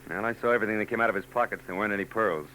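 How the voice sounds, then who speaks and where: A man's voice: lowish voice, rapid-fire, bright, forceful tone, low-quality recording. An actor playing a wry, weary male private eye in a 1940s radio drama, dry and sardonic. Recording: old radio broadcast with hum.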